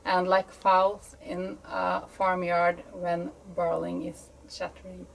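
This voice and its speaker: A woman's voice: fairly low pitch, completely flat delivery, slow pace, vocal fry, rough recording. A woman reading rhythmic verse aloud as an amateur volunteer. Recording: faint background noise and ambience.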